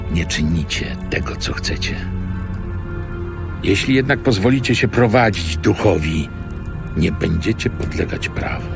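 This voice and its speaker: A very deep, creaky voice speaks slowly over clear background music. It is an earnest, stern apostle, grave and emphatic, delivering an audio Bible monologue. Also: male voice. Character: stern, earnest apostle teaching his followers.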